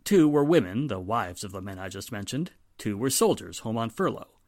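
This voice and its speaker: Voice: mid-range voice, big pitch swings, moderate pace, a little mellow, no room sound. An actor playing a weary, sardonic male police inspector in a 1940s-style radio drama, speaking emphatically with dry exasperation. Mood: matter-of-fact.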